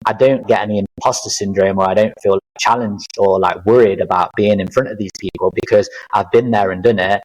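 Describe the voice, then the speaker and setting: A man in his thirties speaks in a low, deep register. He talks through his experiences in an earnest, reflective way, with plenty of fillers and restarts. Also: steady tone, fairly quick, few pauses, a little mellow, dull audio. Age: 30s. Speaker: podcast guest, life coach. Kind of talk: casual interview chat.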